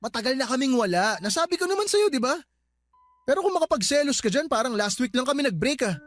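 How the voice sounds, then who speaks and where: Petulant, nagging boyfriend. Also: male voice, very high voice, big pitch swings, fast, a bit bright, dry recording, faint music behind, exaggerated voice. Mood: defensive, dismissive. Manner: nagging, defensive, dismissive. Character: insistent, dismissive young boyfriend, petulant and quick to snap. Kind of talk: Filipino radio drama acting.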